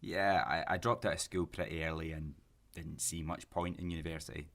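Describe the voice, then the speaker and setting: Casting: male actor for awkward, defensive widower in sci-fi audio drama; deep voice, naturalistic, halting delivery. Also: steady tone, a bit bright. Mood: subdued. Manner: hesitant, stammering, self-justifying. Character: awkward, defensive grieving widower on a first date.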